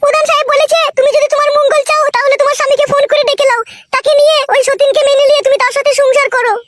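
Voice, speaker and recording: A woman's voice: very high-pitched, level tone, very fast, a little hiss or hum. A woman playing a devoted, pleading, long-suffering wife in a comedy cartoon, submissive and insistent. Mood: reassuring.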